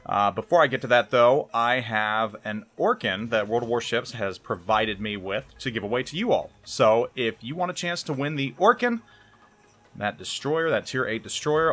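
A man's voice: mid-range voice, a bit bright. A man giving casual gameplay commentary as a game reviewer, rambling and hesitant, often repeating words.

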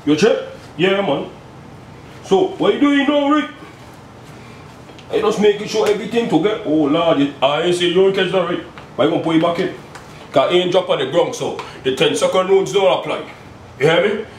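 A man's voice: high-pitched, brisk, long pauses, slight background noise. Playful man in an echoey kitchen. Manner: playful and chatty, tags lines with "you check?". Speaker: home cook host. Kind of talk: casual cooking demonstration. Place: small home kitchen indoors. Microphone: camera mic at a distance. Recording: roomy kitchen from far off.

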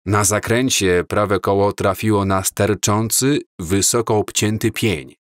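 Slow, low-voiced man reading a story. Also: no echo, studio quality. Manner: slow, measured, calm reading. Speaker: audiobook narrator. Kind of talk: scripted storybook narration.

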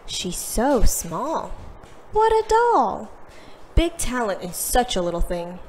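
A woman's voice: on the high side, wildly swooping pitch, slow pace, darkish tone, a little hiss or hum, exaggerated voice. A woman voicing gushing grown-up admirers who coo over a child in a storybook. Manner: cooing, gushing.

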